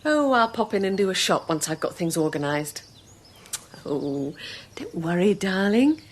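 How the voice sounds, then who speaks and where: Eager British young wife, lilting widely. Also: female voice, fairly low pitch, big pitch swings, unhurried, slight background noise. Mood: cheerful. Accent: British English. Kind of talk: radio drama acting.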